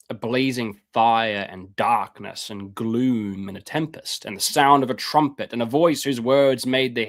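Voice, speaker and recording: A man on a live stream reading Bible passages aloud and commenting on them, with casual asides and his voice going hoarse. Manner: reads scripture aloud then comments, rises in pitch when fervent; casual asides, repeats words, clears throat. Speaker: streamer teaching on scripture.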